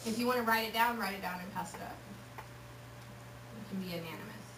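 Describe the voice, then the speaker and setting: Lively woman from Texas addressing teens, distant mic in a noisy, echoey hall. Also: medium pitch, moderate pace. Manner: lively, joking, acts out voices. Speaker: youth speaker. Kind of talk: casual talk to a youth group.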